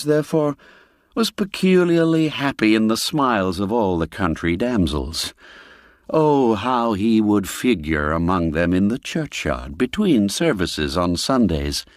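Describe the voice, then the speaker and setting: A man narrating a classic literary tale in a measured, expressive storyteller's manner. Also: on the low side, no echo, studio quality. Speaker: narrator and audiobook reader.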